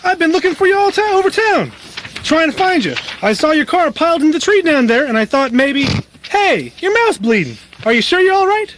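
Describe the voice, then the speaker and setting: A man playing a loud, folksy, concerned small-town friend in a comedic radio drama. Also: very high-pitched, sing-song, brisk, noisy background. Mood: worried, exasperated. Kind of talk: comedic radio show read-through.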